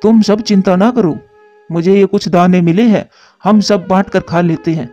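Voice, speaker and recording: A dependable, caring pigeon from a children's cartoon, voiced by a man. His voice is high and mellow, recorded a little dully over soft background music. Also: steady tone, moderate pace, close to an everyday voice. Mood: reassuring. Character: caring, responsible male cartoon pigeon, group's elder brother. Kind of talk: Hindi children's moral story cartoon dialogue. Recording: dull lo-fi track with music.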